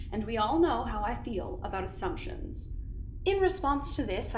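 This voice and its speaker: A woman's voice: on the high side, reverberant, a little hiss or hum, close to an everyday voice. A woman playing a coolly polite, imperious corporate doctor in an audio drama, speaking in formal, precise, condescending sentences. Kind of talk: audio drama acting, dictated message and interrogation.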